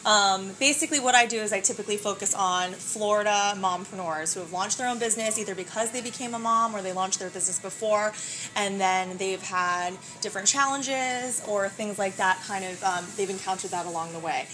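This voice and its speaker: Bright-voiced woman interviewer, chatty. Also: mid-range voice, a little fast, poor audio. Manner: chatty, upbeat, filler-heavy questioning. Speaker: interviewer and columnist. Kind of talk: casual interview.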